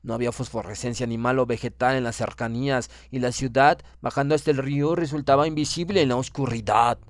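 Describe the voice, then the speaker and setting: Hombre que interpreta a un oficial prusiano solemne y condenado. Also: male voice, medium pitch, steady tone, quick pace, close to an everyday voice. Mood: uneasy wonder. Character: proud, doomed Prussian naval officer writing his last account. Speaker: audiobook reader. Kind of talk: dramatic horror audiobook narration.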